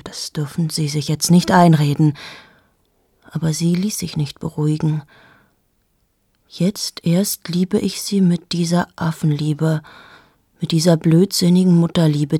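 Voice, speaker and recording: A woman's voice: low voice, breathy edge, dry recording, clean studio sound, heavily acted. An actress in her thirties playing a cold, self-absorbed journalist in a radio drama monologue, talking straight to the listener and often dropping into a whisper. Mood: hushed. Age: 30s. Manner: shifts into hushed whispers, addresses the listener directly. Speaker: monologue speaker.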